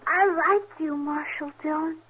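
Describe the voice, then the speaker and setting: A woman's voice: sits high, a little slow, bright, forceful tone. A woman playing a trusting, tired little girl in an old radio western, speaking artlessly in a small childlike voice. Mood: drowsy, affectionate. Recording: narrow, faintly noisy old radio recording.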